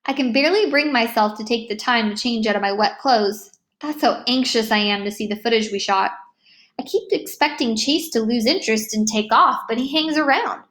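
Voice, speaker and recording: A woman reading a children's novel aloud as an expressive first-person storyteller. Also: a little high, moderate pace. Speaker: read-aloud narrator.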